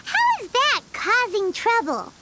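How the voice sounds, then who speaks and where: Tiny chatty fairy girl companion: very high, lilting, unhurried, blunt and easily startled. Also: wildly swooping pitch, heavy background noise, heavily acted. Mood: puzzled. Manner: speaks of herself in third person. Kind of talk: game cutscene voice acting.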